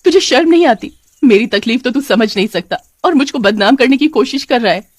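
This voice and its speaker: A woman's voice: on the high side, lively intonation, brisk, no room sound, clean studio sound, pitched above the performer's own voice. A woman voicing a wronged young widow in a cartoon story, plaintive and protesting. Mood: alarmed, indignant. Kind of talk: Hindi cartoon story voice acting.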